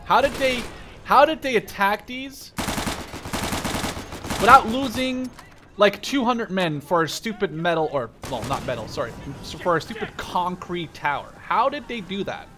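A man in his twenties giving casual, rambling, sweary gameplay commentary in an excitable way. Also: sits high, measured pace, background noise. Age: 20s. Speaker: gamer, video host. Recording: loud game gunfire behind the voice. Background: gunfire and machine guns from game.

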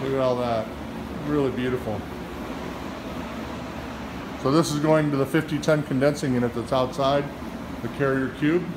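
A man's voice: mid-range voice, steady tone, moderate pace, pauses often, background noise. A middle-aged man, an HVAC service manager, walks through an old machine room and talks casually and admiringly about the equipment into a handheld phone. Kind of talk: casual walkthrough narration. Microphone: phone camera held in hand.

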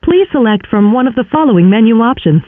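A woman's voice: fairly high pitch, animated, fast, no echo. Automated woman on a phone line. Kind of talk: scripted phone menu prompts. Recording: narrow dry phone line.

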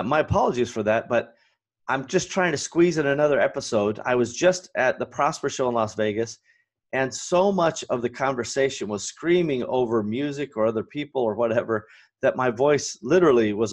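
A man in his forties hosting a solo business podcast from a home office, his voice hoarse and raspy, talking with energy and going off on rants. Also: mid-range voice, a little fast. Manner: energetic, ranting, fillers and restarts. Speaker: podcast host.

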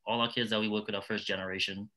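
Middle-aged Trinidadian man, panelist. Low-pitched, forceful bright tone, talking from experience. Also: flat delivery, racing. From Trinidad and Tobago. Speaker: nonprofit founder, panelist. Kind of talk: panel discussion talk, personal stories.

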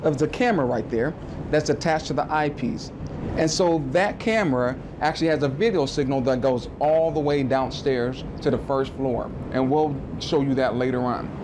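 A man's voice: medium pitch, normal speed, noisy background. A man in his thirties giving a casual guided tour of an observatory, explaining equipment in a friendly, chatty way with small restarts. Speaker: planetarium manager giving a tour. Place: rooftop observatory outdoors, then indoor exhibit room.

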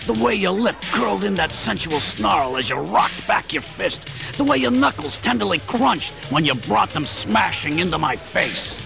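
An actor playing a tough, blunt, wisecracking plumber in a comic radio play. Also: male voice, high voice, sing-song, normal speed, creaky, music in the background, exaggerated voice. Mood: smooth-talking. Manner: brash, wisecracking. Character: tough, blunt working-class plumber hero.

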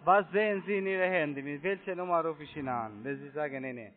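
High-voiced man with foreign accent, muffled line. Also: quick pace. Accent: foreign accent in German. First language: not German. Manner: broken German, pleading then crude. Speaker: call centre scam agent. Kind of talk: argumentative phone call. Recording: muffled narrow phone line.

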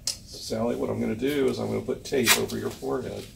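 An older man, a ski patroller, explaining first aid steps haltingly in a demonstration. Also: medium pitch, steady tone, creaky. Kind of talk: scenario role-play demonstration. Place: indoor ski patrol room. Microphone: camera a few steps away. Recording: noisy room, distant camera microphone.

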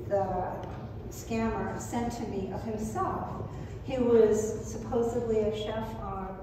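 An older woman giving a prepared, personal conference talk in a measured, reflective, somewhat halting way. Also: medium pitch. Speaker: speaker at a skepticism conference. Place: meeting room, at a lectern, indoors. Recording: very echoey hall, distant mic, noisy.

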